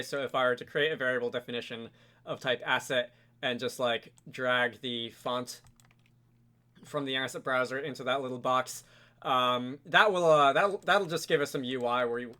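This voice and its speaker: Bright-voiced man giving a casual tutorial. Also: medium pitch. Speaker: tutorial host, game programming instructor. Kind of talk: casual screen-recorded software tutorial.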